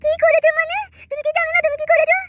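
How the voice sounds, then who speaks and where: A piercingly high young village woman, put on by a voice actress, scolds in comic cartoon melodrama. She is plaintive and accusing, over clear background noise. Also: level tone, rapid-fire. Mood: hurt, accusing.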